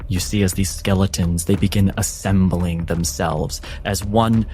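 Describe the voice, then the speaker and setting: A man narrating a tabletop game as game master, with deliberate phrasing and dramatic pauses. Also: low voice, fairly even intonation, darkish tone, soft background music.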